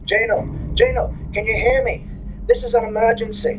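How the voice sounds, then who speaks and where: Man as high, urgent officer over radio. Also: steady tone, moderate pace, heavy background noise. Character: alarmed male crew officer calling over intercom. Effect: radio intercom filter. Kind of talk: audio drama acting. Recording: muffled radio transmission sound.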